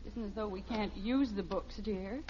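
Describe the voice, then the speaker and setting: A woman's voice: medium pitch, moderate pace, mellow, natural voice. An actress playing an indignant, proper middle-aged wife and mother in a 1950s radio sitcom, reproachful and insistent. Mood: pleading, reproachful. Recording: dull, noisy old radio recording.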